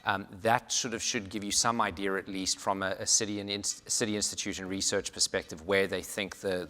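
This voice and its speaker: A man from South Africa speaking on a conference panel, explaining points in a measured way with frequent fillers. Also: low voice, a bit bright. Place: conference hall. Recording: hall reverb.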